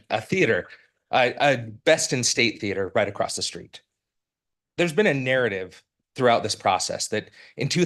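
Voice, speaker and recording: A man's voice: on the low side, fairly bright tone. A man in his forties from Utah, giving a prepared public comment to a city council in an earnest, formal and persuasive way, with a few restarts, into a podium microphone in a council chamber. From Utah, United States. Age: 40s.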